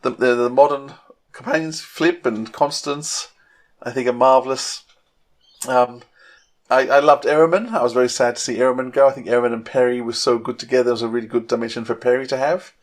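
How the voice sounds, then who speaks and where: A man's voice: mid-range voice, steady tone, a little fast. A middle-aged man co-hosting a podcast in casual fan chat, opinionated and often hesitating as he thinks aloud. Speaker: podcast co-host.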